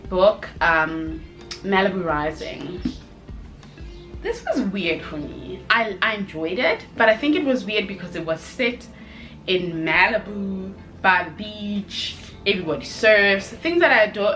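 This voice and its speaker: A woman giving a casual, chatty review to camera as a video host, expressive and emphatic, with short exclamations and repeated phrases. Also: mid-range voice.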